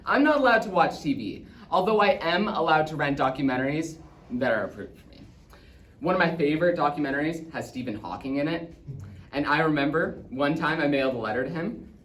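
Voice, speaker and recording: A man's voice: on the high side, voice pushed up. Man acting as an earnest young boy, echoey and distant. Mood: excited. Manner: earnest, theatrical, swinging from excited to hushed. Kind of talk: dramatic monologue in speech competition style. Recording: distant echoey room, faint noise.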